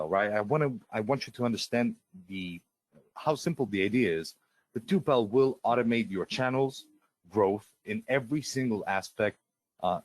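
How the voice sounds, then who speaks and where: Low-voiced man pitching software in a dry room. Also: dry recording. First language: Russian. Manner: eager salesy pitch, many fillers and restarts. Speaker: software presenter on a live webinar. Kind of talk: live sales webinar, screen-share walkthrough.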